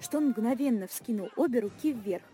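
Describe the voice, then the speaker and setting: A woman's voice: fairly high pitch, animated, moderate pace, dark tone, soft background music. A young woman reading scripted narration for an audio play of a short story. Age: young adult.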